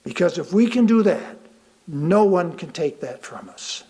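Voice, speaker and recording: A man reading a sermon aloud from a script, deliberately and with emphatic pauses. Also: sits high, animated, dark tone, husky. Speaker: lay reader of a sermon.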